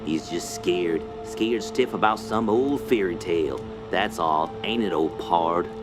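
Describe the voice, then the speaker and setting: An actor playing a gruff, teasing backwoods hunting guide in a radio play, talking in blunt rustic slang. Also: male voice, deep voice, a little slow, over music. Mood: mocking.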